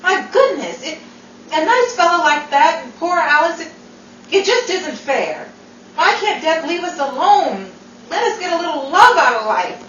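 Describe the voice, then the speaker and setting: A brash, outspoken young wartime gal with a slangy 1940s manner, played by a woman on stage. Her voice sits a little high and rings out into an echoey stage space, picked up from a distance with dull sound. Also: very animated, normal speed, a bit bright, a little hiss or hum. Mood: outraged. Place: theater stage.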